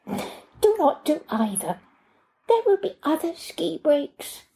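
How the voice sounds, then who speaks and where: A prim, proper housekeeper, as a woman reads her out with a higher, lifted voice and a stiff, stilted way of putting things. She talks slowly and carefully, lecturing in a soft, mellow tone, like an audiobook scene. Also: sing-song, gravelly, heavily acted. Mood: stern, gentle. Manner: formal, stilted, slightly nonnative phrasing.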